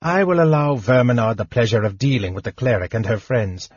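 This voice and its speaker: A man playing a cold, husky scheming dragon. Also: mid-range voice, dry recording, lo-fi sound, close to an everyday voice. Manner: measured, imperious. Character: huge scheming male dragon, cold and commanding.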